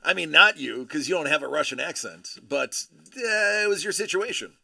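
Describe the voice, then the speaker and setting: A man's voice: on the high side, expressive, moderate pace, gravelly, pushed and bright, dry recording. A man hosting a live card break stream, chatting casually and telling rambling, joking stories. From Buffalo area, USA.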